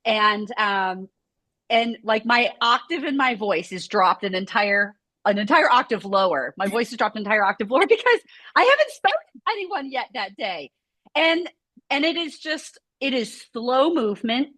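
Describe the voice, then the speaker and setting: Woman studio owner, casual rambling chat, a little high-pitched, dry close sound. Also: expressive, normal speed, sharp tone, no room sound. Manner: chatty, rambling, lots of "like" fillers. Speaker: scoliosis movement studio owner. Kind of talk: casual video chat conversation.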